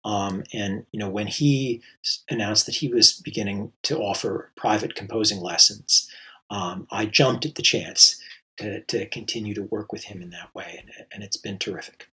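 Husky, halting male composer. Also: on the low side, steady tone, bright, forceful tone, poor audio. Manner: thoughtful, halting, many fillers and restarts. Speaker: composer guest. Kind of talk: reflective interview answers.

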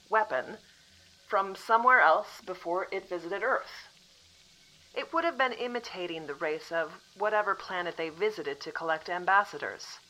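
A woman acting a composed female official from the future in an audio drama, calmly apologetic and matter-of-fact. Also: mid-range voice, poor audio.

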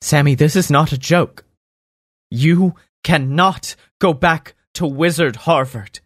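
A man voicing an earnest elven woman who gravely pleads and warns. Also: on the high side, takes it slowly, no room sound, clean studio sound. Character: earnest elven woman, frail-looking but strong.

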